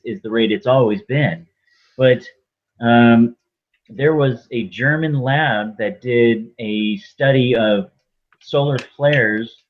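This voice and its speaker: A man's voice: mid-range voice, narrow, tinny audio. A man arguing his side as a guest in an online debate, pressing his point with many uh and um fillers.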